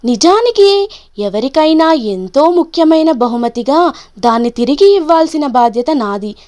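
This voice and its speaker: A woman voicing a small, earnest bird in a children's storybook, polite and gentle in the way it explains and asks. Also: high voice, expressive, moderate pace.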